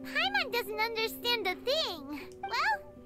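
A tiny floating fairy sidekick from an anime game. The voice is extremely high and squeaky, swooping all over, eager and childlike, with prominent music behind it. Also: female voice, wildly swooping pitch, slow, heavily acted. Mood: perky. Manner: squeaky, exclamatory, third-person speech. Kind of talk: anime video game dialogue.

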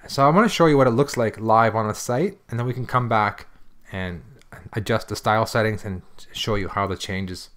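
Man giving a casual software walkthrough. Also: on the low side, normal speed. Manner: informal, explanatory, step by step. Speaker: software demonstrator. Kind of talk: casual screencast tutorial walkthrough.

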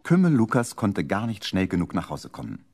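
An actor narrating a children's radio play as the storybook teller of a village tale. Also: male voice, sits low, wildly swooping pitch, a little fast, darkish tone, faint music behind, exaggerated voice. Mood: lively storytelling.

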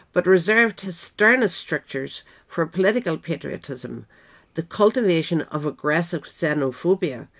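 A woman reading a scripted history essay aloud in a measured, formal manner. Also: low voice. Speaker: audiobook reader.

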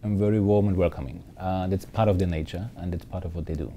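A man's voice: sits low, steady tone, quick pace, mellow, slight background noise. A man from Austria in his fifties, a hotel general manager giving upbeat, fluent interview answers in a hotel lounge.

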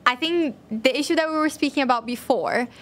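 Girl in her 20s on a podcast, fairly high voice, casual chat, close mic. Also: fairly quick, a bit bright. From Brazil. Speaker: podcast guest, medical student. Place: podcast studio, indoors. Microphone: close desk microphone on boom arm.

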